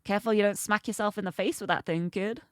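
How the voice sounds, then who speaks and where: A woman voicing a snarky adult male thief who mentors and teases the heroine, in a dry, slightly lowered voice. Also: fairly even intonation, fast, clean tone, no room sound, lightly acted. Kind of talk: visual novel character reading.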